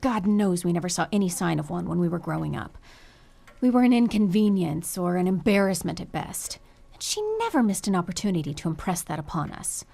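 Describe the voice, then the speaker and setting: A woman's voice: lowish voice, a little fast, vocal fry, mellow. A woman playing a bitter, rebellious adult daughter of a rich family in an audio suspense drama, dry, biting and sarcastic.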